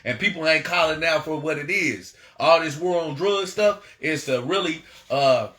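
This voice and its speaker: A man's voice: on the high side, moderate pace, bright, forceful tone. An American man giving a political education lecture in an emphatic, rallying manner, often stumbling and repeating himself. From United States. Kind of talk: impassioned political lecture with read-out passages. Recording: roomy, echoing sound.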